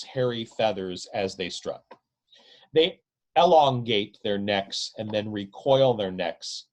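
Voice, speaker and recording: A man reads literary prose aloud as the author of the work. His delivery is slow and emphatic, with a lively rise and fall in pitch. He places each phrase deliberately and dramatically. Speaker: author reading own novel.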